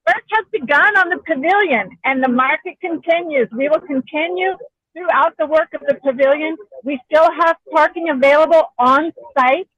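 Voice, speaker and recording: A woman who organizes a market, giving a clear, businesslike update outdoors. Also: high-pitched, moderate pace, fairly bright tone, dry recording, highs cut off. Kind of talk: informational update.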